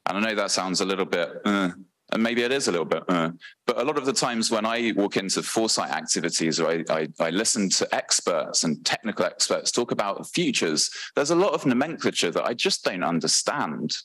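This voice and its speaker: A very deep-voiced British man gives a conference talk into a handheld mic. He speaks earnestly, with plenty of ums and self-corrections. The sound is close and dry. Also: animated, a little fast, fairly bright tone, no echo, poor audio. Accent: British English. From Britain. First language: English. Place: large conference hall, on stage.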